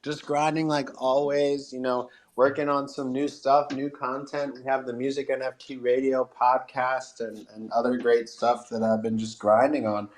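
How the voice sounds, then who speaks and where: A man's voice: medium pitch, level tone, normal speed, hardly any pauses, a little hiss or hum. A man hosting a casual live online community talk in an encouraging, slangy, upbeat way. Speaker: host. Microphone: live online audio room.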